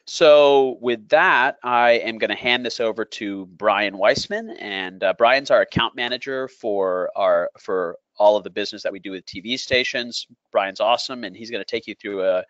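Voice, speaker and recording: A man giving an unscripted sales presentation as a company presenter, explaining in a halting way and repeating phrases. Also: on the low side, fairly bright tone. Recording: dull, lo-fi audio in an echoey room.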